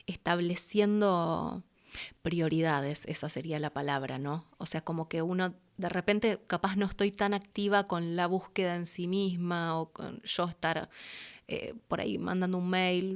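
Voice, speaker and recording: A woman's voice: on the low side, smooth. A woman with an Argentine accent, talking candidly and casually as an interview guest, thinking aloud as she goes. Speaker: interview guest, voice actress and producer.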